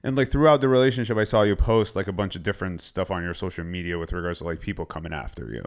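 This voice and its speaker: A man's voice: sits low, very fast. A man hosting a podcast interview, asking questions and prompting with short backchannels. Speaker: podcast host.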